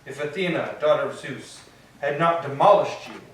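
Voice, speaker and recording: A man's voice: fairly high pitch, animated, moderate pace, a little hiss or hum, natural voice. A man playing a grand, commanding sea god, speaking formally in a staged reading of Greek tragedy. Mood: grave lament. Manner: formal, declamatory. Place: echoing church interior. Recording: very echoey hall, distant mic.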